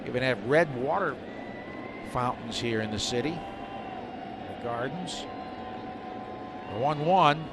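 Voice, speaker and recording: A man's voice: mid-range voice, animated, takes it slowly, long pauses. A man calling a live baseball game on the radio as a play-by-play announcer. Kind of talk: live sports commentary. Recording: noisy live ballpark radio broadcast. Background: stadium ambience and noise.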